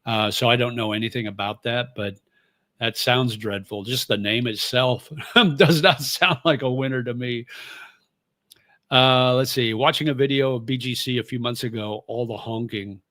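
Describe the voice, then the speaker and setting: A man's voice: medium pitch, moderate pace, bright, forceful tone, no echo, clean studio sound. A middle-aged man and former radio host, chatting casually on his livestream and reading viewer comments in a rambling, easygoing way with plenty of fillers.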